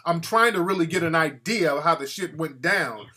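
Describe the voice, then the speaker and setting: A man's voice: on the high side, animated, moderate pace, over a noisy crowd. A man hosting a call-in talk show, questioning a caller bluntly and impatiently, often repeating himself. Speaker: radio talk show host.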